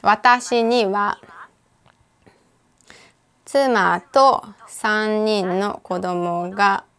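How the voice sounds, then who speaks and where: A Japanese-accented woman talks through a language app lesson in a relaxed, unscripted way. Her voice is smooth and clean at a middle pitch. She pauses with frequent fillers and corrects herself as she goes. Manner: thinks aloud with frequent uh and self-corrections. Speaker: language tutor. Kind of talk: casual screen-recorded app walkthrough.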